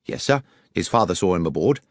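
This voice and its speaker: A man plays a tidy country station master, low-voiced and deferential, plain and sure in his answers. Close and dry. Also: animated, brisk, no echo. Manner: polite, deferential, plain-spoken. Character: neat, orderly male rural station master, deferential.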